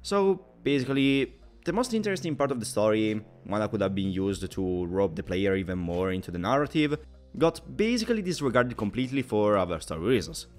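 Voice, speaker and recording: A man narrating a scripted video game review in an emphatic, opinionated way. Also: mid-range voice.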